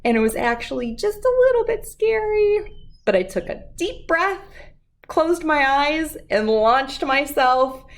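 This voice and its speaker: A woman speaking as a children's read-aloud host, expressive and storybook-like, with drawn-out emphasis. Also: high voice. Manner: slow, animated delivery with drawn-out emphasis for young listeners.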